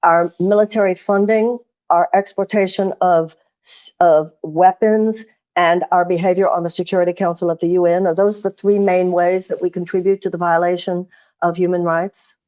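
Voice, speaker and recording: A woman hosting a serious political interview, earnest and measured, building her points in long sentences. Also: on the low side, steady tone, darkish tone. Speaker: host.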